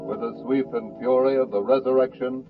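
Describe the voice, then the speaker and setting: A man's voice: medium pitch, steady tone, a little fast, over loud music. A man announcing a 1940s radio drama series in a formal, solemn, declamatory style. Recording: tinny 1940s radio broadcast.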